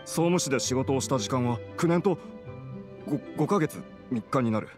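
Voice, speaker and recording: An actor playing an awkward, meticulous male civil servant in a Japanese video game, halting and pedantic. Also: mid-range voice, lively intonation, darkish tone, close to an everyday voice. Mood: stiff, awkward. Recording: music behind the voice.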